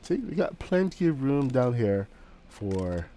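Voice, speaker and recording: A man's voice: mid-range voice, big pitch swings, unhurried, warm tone. A man streaming a building game, talking casually while he builds, with a cold that makes him sniffle, rambling with lots of ums and restarts. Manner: rambling, with frequent fillers and restarts.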